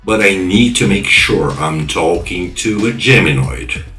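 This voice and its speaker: A man playing a polite, probing cyberpunk investigator in audio drama dialogue, with measured questions. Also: low voice, expressive, pushed and bright, far from the mic, faint music behind, full character voice. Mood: smooth, curious.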